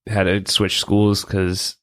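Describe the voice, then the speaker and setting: A man's voice: low voice, completely flat delivery, takes it slowly, fairly bright tone. A man co-hosting a podcast, talking in a casual, conversational way. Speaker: podcast co-host. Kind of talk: casual chat.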